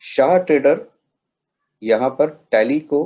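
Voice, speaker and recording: A man from India presenting a question-and-answer tutorial in a deliberate way, pausing between phrases. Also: mid-range voice, lively intonation, mellow. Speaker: presenter of a software training session.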